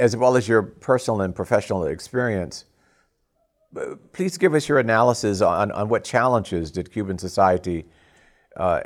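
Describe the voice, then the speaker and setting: A man with a very low voice acts as podcast host. He poses formal, prepared questions deliberately, with halting phrasing between clauses.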